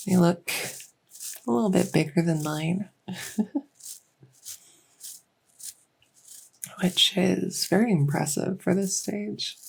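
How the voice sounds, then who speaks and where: A woman playing a gentle, reassuring fairy-dragon clinician in an unhurried, slightly hesitant medical roleplay ASMR. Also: medium pitch, gravelly, husky, full character voice, rough recording. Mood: friendly, playful. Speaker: roleplay audio performer.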